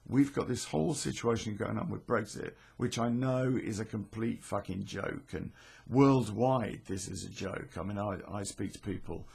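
A British man with a hoarse, croaky voice, hosting a podcast in a rambling, self-deprecating, sweary way. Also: lowish voice, moderate pace, darkish tone. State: hoarse from illness. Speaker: podcast host and storyteller. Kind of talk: casual chatty intro to a podcast.

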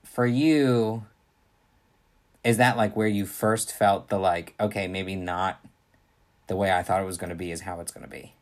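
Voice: sits low, steady tone, normal speed, long pauses. A podcast co-host asking questions in a casual, hesitant way full of fillers.

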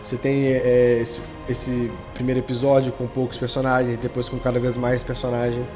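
A man's voice: mid-range voice, monotone, moderate pace, over music. A Brazilian man chatting casually as a podcast guest, explaining his creative work in informal, slangy speech. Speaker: podcast guest, audio drama creator and editor. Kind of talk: casual interview chat.

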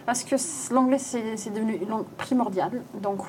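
A woman's voice: a little high, fairly even intonation, fairly quick, mellow, a little hiss or hum. Algerian woman, english teacher, casual chat, switches languages freely. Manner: mixes French, English and Algerian Arabic.

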